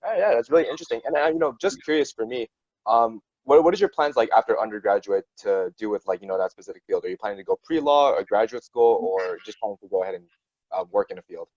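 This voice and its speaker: A man's voice: lowish voice, expressive, quick pace, darkish tone, no echo. A man in his twenties hosting a casual interview over a video call on earphones, with dull lo-fi sound. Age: 20s. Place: small room, indoors. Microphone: wired earphones on video call.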